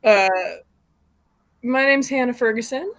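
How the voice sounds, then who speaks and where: A woman's voice: high voice, a little slow, fairly bright tone. A woman hosts a live stream with an informal, chatty delivery. She is giggly and loose, as if introducing things casually over a video call.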